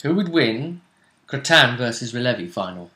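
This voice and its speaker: A man's voice: mid-range voice, big pitch swings, normal speed, sharp tone. A man hosting a tabletop role-play tournament show, talking casually as he comments and speculates.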